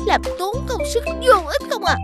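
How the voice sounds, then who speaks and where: A woman voicing a boastful, greedy cartoon bug villain who cackles and gloats in a drawn-out way. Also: very high voice, animated, over music. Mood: gloating. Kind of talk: cartoon voice acting.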